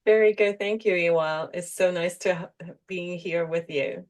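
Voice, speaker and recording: A woman's voice: fairly low pitch, moderate pace. A middle-aged woman speaks. She is an executive coach answering as a podcast guest, in a conversational interview style. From Hong Kong.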